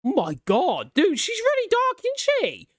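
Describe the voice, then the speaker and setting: A man's voice: extremely high pitch, wildly swooping pitch, normal speed, slight background noise. A young adult man giving casual, slangy, exclamatory reaction commentary into a close desktop microphone in a small room. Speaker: reaction video host. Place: small indoor room at home. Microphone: desktop condenser microphone, close up.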